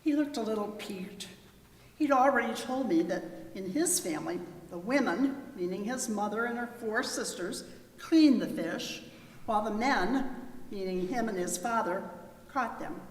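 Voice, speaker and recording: A woman's voice: mid-range voice, animated, moderate pace, a little hiss or hum. Distant woman narrator in an echoey hall. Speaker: narrator in a readers theater performance. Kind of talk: scripted third-person narration read aloud. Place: large reverberant hall.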